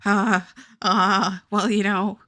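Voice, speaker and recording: A woman's voice: mid-range voice, flat delivery, slow, sharp tone. A young woman with a Southern US accent, chatting casually and rambling on a live stream, swearing and laughing often. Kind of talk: casual chat while drawing on a live stream.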